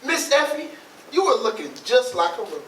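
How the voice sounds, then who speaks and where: A man acting a flirtatious, cajoling man in a stage play, with an animated, sweet-talking manner. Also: very high-pitched, normal speed. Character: flirtatious, cajoling Southern man on a train outing. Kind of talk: readers theatre stage acting. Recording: echoey, distant, noisy ambience.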